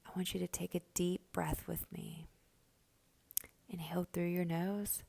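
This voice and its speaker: A woman leads a guided meditation very slowly and gently, leaving long pauses between instructions. Her voice is soft and mellow, often nearly whispered, with a noticeable fry at the edges. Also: lowish voice, fairly even intonation. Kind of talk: slow guided meditation narration.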